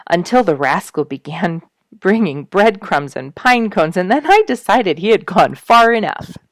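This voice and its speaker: A woman voicing an earnest, amused young man in a storybook, explaining things in a deliberate, emphatic way. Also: mid-range voice, expressive, no room sound, pitched above the performer's own voice, lightly acted. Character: earnest, amused young man who keeps pet squirrels.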